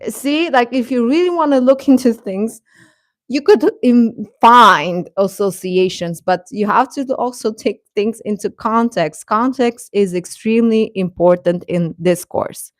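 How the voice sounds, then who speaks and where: A woman's voice: on the high side, no echo. Rambling woman livestreamer in a dry recording. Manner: rambling, halting, emphatic with repetitions. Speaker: livestream host. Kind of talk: casual fan commentary to live chat.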